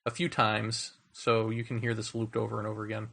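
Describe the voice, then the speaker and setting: A man co-hosting a podcast, replying in a measured, thoughtful way during casual talk. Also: fairly low pitch, monotone, creaky, very noisy. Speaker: co-host.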